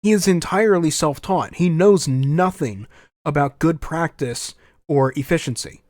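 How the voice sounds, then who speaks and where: A man's voice: a little high, a little slow, a little mellow, no echo. Young guy podcasting w a cold, kinda scratchy and stuffy, dry close mic, ranting away. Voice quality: slightly scratchy. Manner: opinionated, restarts phrases, frequent fillers. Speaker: podcast host. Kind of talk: casual solo podcast rant.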